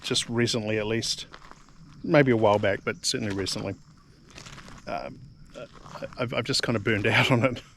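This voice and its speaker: A man's voice: lowish voice, fairly even intonation, normal speed, vocal fry, long pauses, a bit bright. A middle-aged man from New Zealand with a New Zealand accent, rambling casually to camera, full of ums and repeated words. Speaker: vlogger. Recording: faint background noise.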